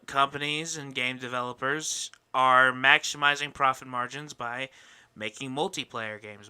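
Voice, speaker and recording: A man hosts a casual podcast in a very bright, forceful voice with a smooth, clear tone. He is opinionated and rhetorical, with some swearing and mock indignation. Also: medium pitch. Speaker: podcast host.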